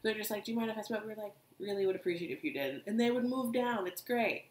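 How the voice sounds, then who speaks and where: A young woman, co-hosting a podcast and telling stories in an animated, casual way. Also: medium pitch, fast. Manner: animated storytelling, many asides. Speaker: podcast co-host. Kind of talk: casual chat. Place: living room couch, indoors. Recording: echoey room.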